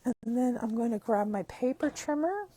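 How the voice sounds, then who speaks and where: A hoarse woman with a croaky voice, chatting upbeat through a card-making tutorial step by step. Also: on the high side, expressive, moderate pace, warm tone. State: hoarse, losing her voice. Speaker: craft demonstrator.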